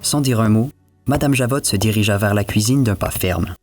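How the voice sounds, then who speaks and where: Low-voiced male fairy tale narrator. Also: normal speed, a little mellow, faint music behind. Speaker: storyteller, narrator.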